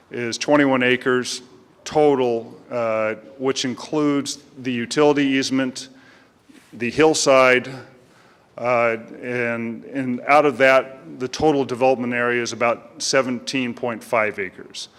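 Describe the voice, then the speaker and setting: A middle-aged man giving a measured presentation at a community meeting, with frequent fillers and restarts. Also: medium pitch, fairly bright tone. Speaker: commercial real estate broker. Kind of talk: public comment presentation. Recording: speaking in a hall with long reverb.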